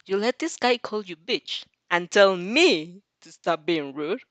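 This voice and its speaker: A woman's voice: medium pitch, expressive, a little slow, no room sound, natural voice. A woman voicing a theatrical, haughty teenage boy who mocks others, in an audio fan fiction. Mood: indignant. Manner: mocking, dramatic.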